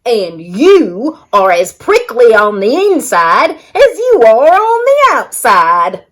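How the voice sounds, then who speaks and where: A woman's voice: a little high, wildly swooping pitch, slow, a bit bright, heavily acted. A woman voicing a blunt coyote who talks back, as a children's storybook character. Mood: indignant, blunt.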